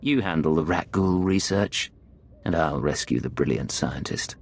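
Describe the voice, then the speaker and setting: A man's voice: very deep voice, lively intonation, moderate pace, gravelly, slightly rough, full character voice. A man playing a cool, confident imperial secret agent in a video game, terse and self-assured. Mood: dry, confident.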